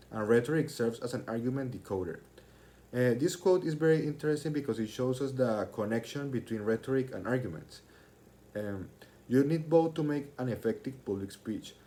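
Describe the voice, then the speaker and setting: A man's voice: mid-range voice, dark tone. A young man, a student, delivering a prepared class speech in a formal, expository manner, as if reading from his text. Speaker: student speaker.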